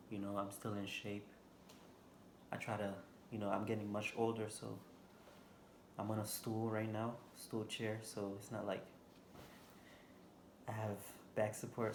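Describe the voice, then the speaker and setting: Slow, flat-voiced Haitian man. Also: on the low side, creaky. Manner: slow, halting, many fillers. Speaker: vlogger. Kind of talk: unscripted casual vlog talk. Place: indoor room, seated on a stool. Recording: echoey room with background noise.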